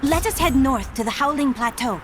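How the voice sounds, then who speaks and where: An actress voicing a calm, wise young enchantress who speaks in a measured, formal way, in game audio with music behind. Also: female voice, high-pitched. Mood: composed. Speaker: companion enchantress.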